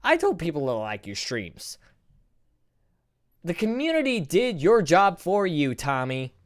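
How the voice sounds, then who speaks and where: A man in his thirties hosting a casual live stream, reacting in a rambling, profane, animated way. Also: on the high side, unhurried. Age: 30s.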